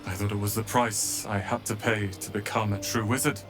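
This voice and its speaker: A young man playing an earnest, resolute wizard's apprentice with a wounded past in a dramatic video game, speaking in a measured, emotional way. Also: on the low side, fairly even intonation, over music, close to an everyday voice. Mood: bitter, wounded.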